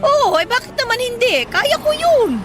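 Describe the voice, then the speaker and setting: High, bright, feisty working-class mother. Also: moderate pace, exaggerated voice. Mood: proud, upbeat. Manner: animated, motherly, colloquial, playfully indignant. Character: hardworking, proud, affectionate 37-year-old mother driving a pedicab. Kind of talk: Filipino radio drama acting.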